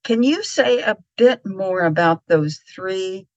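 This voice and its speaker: A woman's voice: mid-range voice, expressive, slow pace, a little mellow, dry recording. A woman hosting an interview, asking questions hesitantly while searching for her words. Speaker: podcast host. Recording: dry, dull lo-fi sound.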